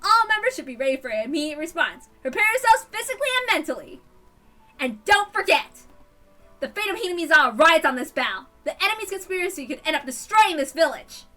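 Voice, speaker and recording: A woman's voice: very high voice, big pitch swings, quick pace, bright, forceful tone, soft background music, full character voice. A woman voicing an eager, hot-blooded young club leader rallying friends in an amateur story reading. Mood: commanding.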